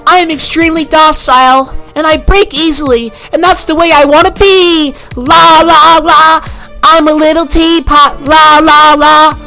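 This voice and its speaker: A woman's voice: sits high, steady tone, measured pace, sharp tone, faint music behind, full character voice. A woman voicing a prissy, smug, dainty cartoon assistant principal with a fussy, sing-song, babyish way of talking. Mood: gleeful, babyish.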